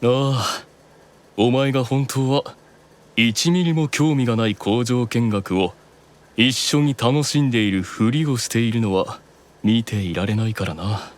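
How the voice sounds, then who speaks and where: A man's voice: medium pitch, lightly acted. Composed, proud demon eldest brother, played by a man. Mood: measured, knowing. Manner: composed, authoritative, deliberate explaining. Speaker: voice actor. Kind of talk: anime audio drama dialogue.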